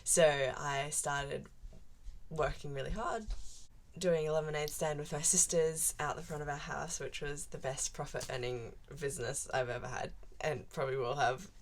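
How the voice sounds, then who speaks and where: A woman's voice: deep voice, steady tone, normal speed. A seventeen-year-old girl from Central Australia with a broad Australian accent, chatting casually to camera as a vlogger and rambling along with plenty of "like" fillers. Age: teens.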